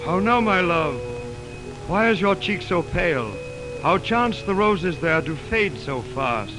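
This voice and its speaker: An actor playing an ardent, tender young lover, with theatrical declamation in a 1920s radio Shakespeare drama. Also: male voice, sits high, lively intonation, slow pace, over music. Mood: tender concern. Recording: noisy 1929 radio aircheck on film.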